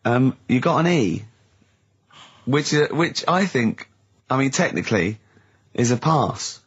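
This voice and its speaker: A man's voice: medium pitch, sing-song, a little slow, husky. A man with a southern English accent, hosting a casual comedy radio show, teasing and explaining things in a conversational way. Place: radio studio. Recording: muffled lo-fi radio recording.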